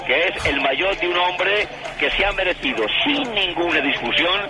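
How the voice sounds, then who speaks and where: A man's voice: high voice, expressive, moderate pace. A man giving solemn, grand live sports commentary. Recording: noisy archive outdoor broadcast.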